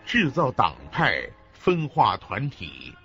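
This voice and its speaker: A grave reciter of ancient precepts declaims slowly for a radio drama. The voice is bright and forceful with big swings in pitch, over faint music. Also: male voice, huge pitch swings, heavily acted. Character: forceful male voice reciting old sayings and precepts. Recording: dull lo-fi audio with background music.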